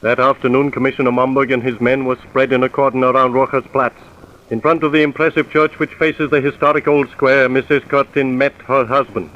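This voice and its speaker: An actor playing the sober narrator of a crime drama, in scripted old-time radio style. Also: male voice, medium pitch, little pitch movement, a little fast, a little hiss or hum, natural voice. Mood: sober, dramatic. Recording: dull vintage radio recording.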